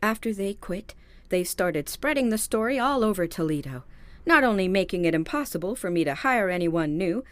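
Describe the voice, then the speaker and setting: A woman's voice: mid-range voice, expressive, moderate pace, dry recording, close to an everyday voice. A woman acting in a radio-style mystery drama as a polite, anxious store heiress, speaking in a formal, earnest, dramatic way. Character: polite, anxious female department store heiress seeking help. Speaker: actor in an audio drama.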